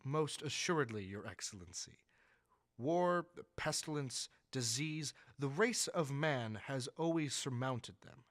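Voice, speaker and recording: A man playing a dignified, deferential astronomer in a radio drama, speaking in a formal, measured, lecturing way. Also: mid-range voice, animated, no echo, clean studio sound. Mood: earnest, assured. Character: dignified male astronomer, learned, earnest, deferential yet sure.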